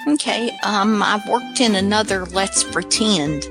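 Casual woman radio host, kinda hesitant, very slow, guitar music playing behind her. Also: medium pitch. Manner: hesitant, informal. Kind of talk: casual unscripted presenting.

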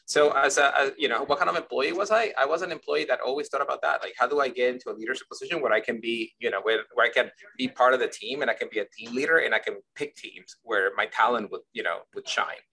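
A man's voice: mid-range voice, fast, vocal fry, hardly any pauses, bright, forceful tone, husky, rough recording. A man from Ecuador, a guest in an interview, telling stories at length with many restarts and checks like "you see what I mean?". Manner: rambling, repeats words, asks "you see what I mean?". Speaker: guest, company leader. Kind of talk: conversational interview answers.

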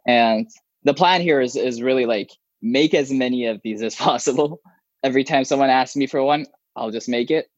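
A man whose first language is Arabic, a software developer talking casually in an interview, with many restarts and fillers. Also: medium pitch, normal speed, no echo, lo-fi sound. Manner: rambling, frequent restarts and fillers.

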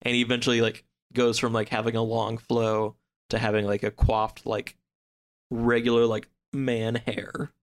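A man speaking as a podcast guest, discussing a book in a casual, halting, conversational way. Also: mid-range voice, level tone.

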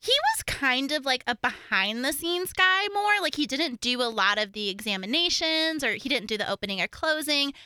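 A young woman from Oklahoma with an American accent that occasionally slips into a Southern twang, chatting casually and gigglily as a podcast co-host in a high-pitched voice. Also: normal speed, hardly any pauses, clear, resonant tone, no room sound, studio quality. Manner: giggly, animated, informal with frequent "like". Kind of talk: casual true-crime podcast chat.

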